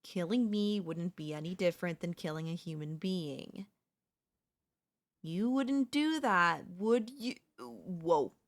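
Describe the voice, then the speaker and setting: A chatty female zombie, played by a woman, wheedles and reasons as if making casual conversation. Her pitch moves in animated swings while the words come slowly. It is sly, comedic audio roleplay with a conversational, coaxing tone. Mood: nervous persuasion.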